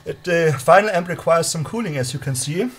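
High-voiced man, hobbyist presenter, casual demo, halting explanations, handheld camera in a home room. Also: lively intonation, a bit bright, breathy edge. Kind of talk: casual hands-on demonstration. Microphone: handheld camera held by the speaker.

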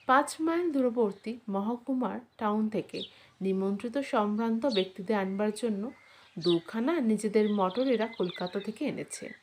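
A woman's voice: mid-range voice, normal speed, darkish tone, slight background noise. A woman reading a literary short story aloud as a scripted narration.

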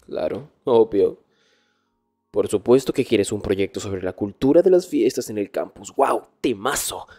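Male actor as arrogant nerdy student, dark mellow voice, husky, hushed ASMR roleplay, often whispering. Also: on the low side, expressive, unhurried, soft background music. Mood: exasperated. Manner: hushed, hesitant stammers, often dropping into whispers. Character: arrogant nerdy young male student, exasperated, teasingly dominant. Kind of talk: Spanish ASMR boyfriend roleplay, intimate one-sided dialogue.

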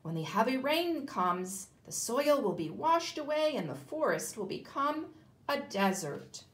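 A shrill troop of storybook monkeys, voiced by a woman reading aloud, high and loud and urgent. The voice swings widely and the room echoes back. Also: big pitch swings, unhurried. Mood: warning.

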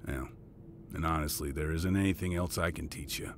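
A man acts out a military father for an audio story. His voice sits low, with a measured, fatherly delivery that is fond and encouraging. A little noise sits behind him. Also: steady tone. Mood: gentle, fond.

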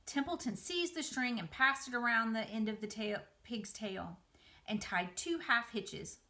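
A woman's voice: a little high, a little slow, bright, forceful tone. A woman in her forties narrating a children's book indoors as an educator. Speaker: museum educator, reader. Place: small room by a window, indoors.

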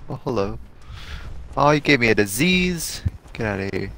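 A man's voice: medium pitch, animated, normal speed, creaky, a bit bright, slight background noise. A man streaming a game, chatting casually in a playful, rambling way. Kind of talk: casual gaming chat.

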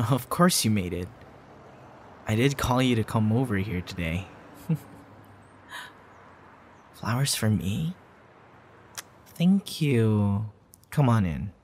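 A man plays a tender young man courting someone close in an intimate audio roleplay. His voice sits a little low, and he talks very slowly. The delivery is soft and hesitant, like a boyfriend ASMR recording. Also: slight background noise. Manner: soft, hesitant, with sighs and chuckles.

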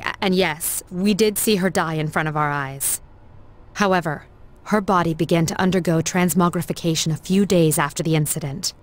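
Actress as composed young female student leader, game drama; lowish voice, formal, serious delivery. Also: natural voice. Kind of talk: scripted game voice acting.

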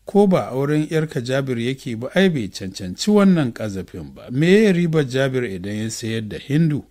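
An older, devout woman acted by a male audiobook reader speaks earnestly. She consoles and exhorts with a troubled heart, in a voice of middle male pitch. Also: very animated, a little fast, darkish tone. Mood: indignant, worried. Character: older mother figure, pious, consoling, distressed woman.

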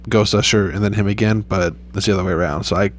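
Laid-back young man, low flat voice. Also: fast, a little hiss or hum. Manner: laid-back, rambling, frequent swearing. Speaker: gaming YouTuber. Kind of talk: casual gameplay commentary.

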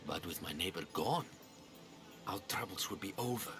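A man's voice: low voice, expressive, normal speed, music in the background. An actor playing a troubled, scheming ancient Greek townsman in a video game, with an earnest, pleading manner. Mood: pleading, grim. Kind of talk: video game dialogue acting.

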